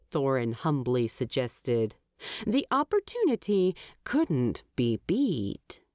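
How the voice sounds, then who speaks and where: A woman narrating a fantasy audiobook in a measured, wry storytelling manner. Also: mid-range voice, a little mellow, no room sound, studio quality. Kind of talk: expressive audiobook narration of fan fiction.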